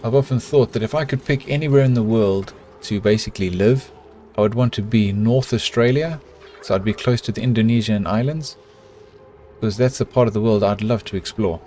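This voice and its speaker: A man's voice: lowish voice, a little fast, slight background noise. A middle-aged man with a South African accent, chatting with his live chat as he plays a colony-building game. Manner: relaxed, chatty, talks to himself while building. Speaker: game streamer. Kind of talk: live gameplay commentary with chat.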